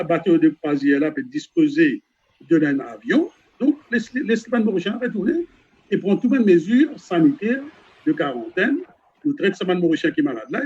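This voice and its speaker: A Mauritian man with a somewhat high, husky voice speaks with passion on an online panel, often stumbling over his words and repeating himself. His audio comes through a dull, muffled video call line. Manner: impassioned, with stumbles and repetitions.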